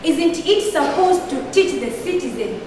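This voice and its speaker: A high-pitched teenage girl from Tanzania, a Swahili speaker, argues her side in a school debate. She builds her case earnestly, with rhetorical questions and the odd restart. Her voice reaches a distant mic in a large, booming hall over a steady hiss of room noise. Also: a little slow. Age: teens. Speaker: student debater. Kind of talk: competitive debate speech. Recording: echoey hall, picked up from far off.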